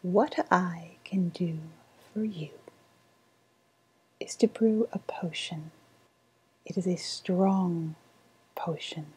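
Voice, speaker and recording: A woman acts out a sly woodland witch who deals in dark love magic. Her voice is low and mellow, dissolving into a very breathy whisper. She coaxes slowly and deliberately, in the soft style of an ASMR roleplay. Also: heavily acted, low-quality recording. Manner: slow, deliberate, coaxing.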